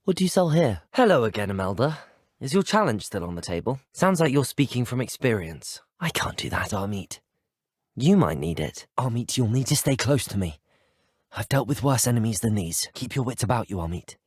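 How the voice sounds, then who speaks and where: Casting: earnest British teenage wizard student, game protagonist; lively intonation, slightly husky, close dry sound. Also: male voice, medium pitch, fairly quick, no echo. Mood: steady, cautious. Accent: British English. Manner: polite, articulate, well-spoken. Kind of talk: video game dialogue acting.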